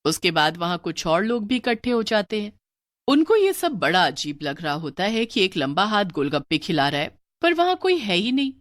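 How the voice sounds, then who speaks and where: A woman narrating a scripted children's story in a steady, plain storytelling manner. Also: medium pitch, normal speed, dry recording, clean studio sound.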